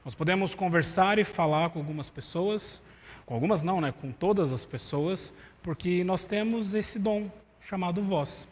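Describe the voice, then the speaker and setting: A man's voice: high voice, normal speed. Male pastor, conversational sermon, voice that tires easily, live church stream with long reverb. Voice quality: vocal cord problem, voice tires easily. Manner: conversational preaching with rhetorical asides. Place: church sanctuary.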